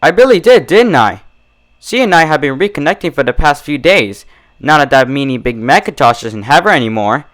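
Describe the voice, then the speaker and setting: A man's voice: fairly high pitch, sing-song, fairly quick, a bit bright. A man voicing an unhinged young female pony in a storybook reading, with an emphatic, girlish delivery. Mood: chirpy, unhinged. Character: young female pony, unhinged, chirpy, desperately cheerful or frantic.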